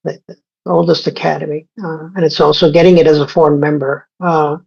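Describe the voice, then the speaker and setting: A man's voice: on the high side, little pitch movement, a little fast, creaky, a little mellow, husky. A man in his sixties from Egypt, a scientist guest in an interview, talking casually with many fillers and restarts. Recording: dull lo-fi sound.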